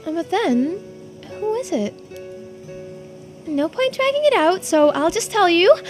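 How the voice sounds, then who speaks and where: Casting: woman playing animated teen girl for a video game, very high, swooping voice, casual and blurting, music behind. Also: unhurried, exaggerated voice. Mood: shy confiding. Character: excitable teenage girl diver with a secret crush. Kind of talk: video game character voice-over.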